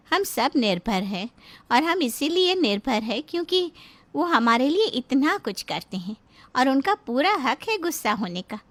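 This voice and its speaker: महिला द्वारा निभाई सहनशील अधेड़ सास, ऊँची आवाज़. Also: female voice, high-pitched, fairly bright tone, voice pushed up, full character voice. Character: dutiful middle-aged mother-in-law, long-suffering wife. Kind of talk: acted dialogue in a moral story.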